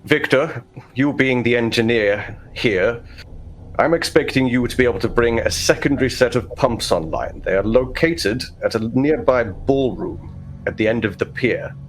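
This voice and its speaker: A man playing a stern, pompous vault overseer, speaking in formal, measured phrases in a tabletop roleplaying game. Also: medium pitch, natural voice, poor audio. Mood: commanding, formal. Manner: formal, measured, stiff-upper-lip.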